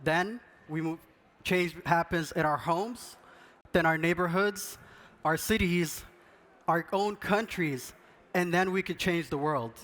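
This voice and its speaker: High-voiced man giving an earnest stage talk. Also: slow, fairly bright tone. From Sudan. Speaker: podcast co-host speaking on stage. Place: event stage.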